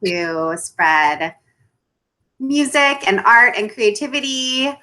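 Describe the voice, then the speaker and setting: A woman's voice: a little high, lively intonation, takes it slowly, bright, forceful tone. A woman hosting a casual interview, friendly and enthusiastic as she introduces and questions her guest. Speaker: host.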